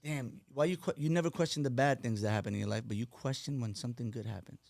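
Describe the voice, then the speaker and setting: A man from New York whose first language is Spanish, chatting casually as a podcast guest, animated and preachy, with "you know what I'm saying" again and again. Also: mid-range voice, fast, gravelly. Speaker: guest, fashion designer.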